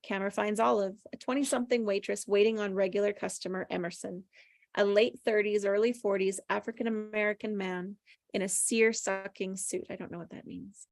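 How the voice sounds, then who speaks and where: A woman's voice: mid-range voice, fairly even intonation, brisk, creaky, no echo. A woman reading screenplay stage directions aloud as scripted narration at a table read.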